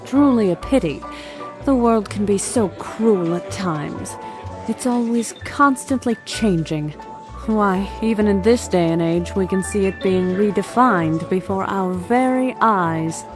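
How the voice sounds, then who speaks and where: A woman's voice: medium pitch, unhurried, close to an everyday voice. A woman playing a gracious, well-bred hostess in a radio play, polite and composed. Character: gracious, well-bred woman company owner hosting dinner. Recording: music bed under dialogue. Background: music.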